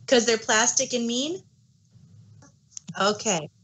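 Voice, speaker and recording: A woman with a fairly high voice talks in a casual, conversational style. She sounds like a podcast co-host in an informal discussion. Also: takes it slowly, a bit bright. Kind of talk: casual chat.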